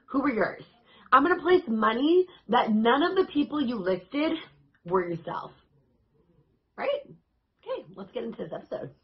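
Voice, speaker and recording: A woman fitness coach talking casually to her viewers, her voice raspy and hoarse, in an upbeat, chatty and encouraging way. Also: on the high side, big pitch swings, moderate pace, pauses often, highs cut off. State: hoarse, voice worn out. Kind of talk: casual vlog talk to camera.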